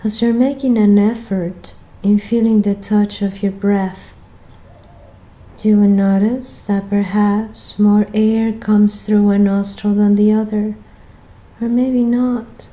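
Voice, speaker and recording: A woman leading a guided meditation as the guide, speaking in a gentle, soothing manner with long pauses between phrases. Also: mid-range voice, level tone, poor audio.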